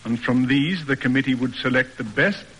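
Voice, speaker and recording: Forceful, stern old-radio father. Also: medium pitch, normal speed, breathy edge, long reverb tail, low-quality recording. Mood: pointed, formal. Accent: American. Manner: formal, emphatic, declamatory. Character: stern, pompous, exacting middle-aged father, proud and demanding. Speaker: actor narrating and playing the father. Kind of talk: classic American radio drama acting. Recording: old radio broadcast recording.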